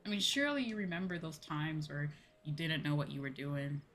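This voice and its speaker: A woman's voice: low-pitched, expressive, fairly quick, a bit bright, a little hiss or hum. A woman streamer talking casually and conversationally in a livestream chat. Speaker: VTuber streamer.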